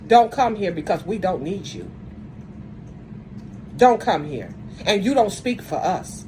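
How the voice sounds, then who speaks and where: A woman's voice: mid-range voice, sing-song, measured pace, mellow, slight background noise, poor audio. A woman speaking straight to the camera in an angry rant, blunt and confrontational, often stumbling and restarting mid-sentence. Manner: says she has a strong voice. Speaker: video commentator.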